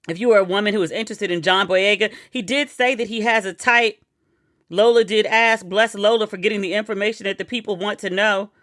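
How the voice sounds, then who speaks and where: A woman's voice: fairly high pitch, pushed and bright, no echo, studio quality. A woman hosting a podcast, chatting casually and playfully as she reads out quotes and news items. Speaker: podcast host. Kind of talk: casual chat.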